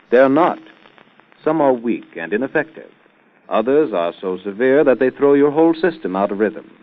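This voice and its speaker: A man reading scripted narration and announcements as an old-time radio announcer, in formal, emphatic, measured phrases. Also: medium pitch. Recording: muffled vintage radio recording, music behind. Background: music.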